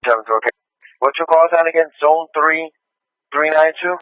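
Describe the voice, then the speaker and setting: A man's voice: on the high side, normal speed, dry recording, narrow, tinny audio. A man working as a police dispatcher, giving terse calls and replies over a scanner radio.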